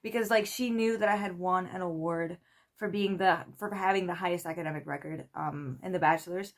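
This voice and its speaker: A woman's voice: lowish voice, brisk. Chatty young woman from Spain. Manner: chatty, rambling, full of "like" and "you know", lively quoted voices. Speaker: PhD student vlogger. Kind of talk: casual storytelling to camera.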